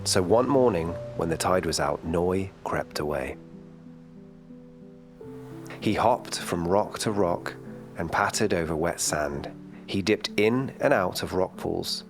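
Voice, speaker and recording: A man reading a children's bedtime story in a calm, gentle storytelling manner. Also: deep voice, slow, soft background music.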